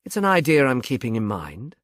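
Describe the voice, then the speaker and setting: A man's voice: medium pitch, big pitch swings, fairly quick, no room sound, studio quality, natural voice. A man voicing a reserved police inspector in an audiobook, formal, impassive and courteous.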